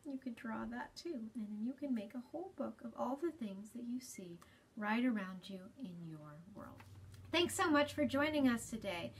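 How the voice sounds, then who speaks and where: A woman in her thirties hosting a children's storytime, explaining gently and deliberately in a slightly breathy voice. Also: fairly high pitch, animated. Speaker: children's storytime host at a library. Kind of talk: children's storytime reading and talk. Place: small library space, indoors.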